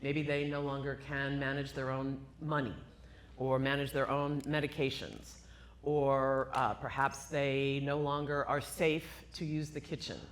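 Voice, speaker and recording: A woman with a loud, deep-pitched voice explains medical terms to a room full of people. She speaks into a handheld microphone and drives her points home with questions to the audience. Her delivery is emphatic and engaging. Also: level tone, a little slow, a little hiss or hum. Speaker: cognitive neurologist guest. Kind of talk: live talk to an audience. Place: event room with live audience.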